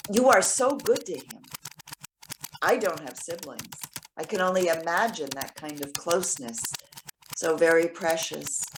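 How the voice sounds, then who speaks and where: A woman's voice: fairly low pitch, a little hiss or hum, rough recording. An actress playing a lonely, genteel elderly woman in staged readers theater, with prim, old-fashioned, formal phrasing.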